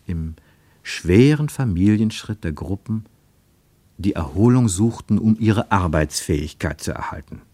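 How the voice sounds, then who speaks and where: A man narrating a literary radio play with expressive, deliberate phrasing. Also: lowish voice, gravelly, dry recording.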